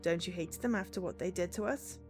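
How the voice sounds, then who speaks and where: A defiant young woman held captive in a cyberpunk game, voiced by a woman. She is blunt, suspicious and fairly low in pitch, with faint music behind her. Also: fairly quick, a little mellow, lightly acted. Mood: guarded.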